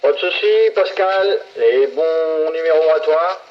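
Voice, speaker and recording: Higher-pitched man on muffled CB radio. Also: unhurried, a little hiss or hum. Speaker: CB radio operator. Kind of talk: casual chat.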